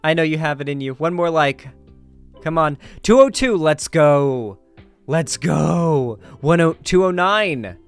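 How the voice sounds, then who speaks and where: A man hosting a live stream, chatting casually and informally with his viewers in an animated way. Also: on the high side, takes it slowly, clear, resonant tone, soft background music. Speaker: livestream host.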